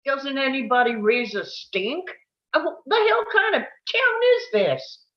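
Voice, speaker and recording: Slow, lively western newcomer read by a woman. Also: high-pitched, muffled recording. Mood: incredulous. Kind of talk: live table read of a western screenplay.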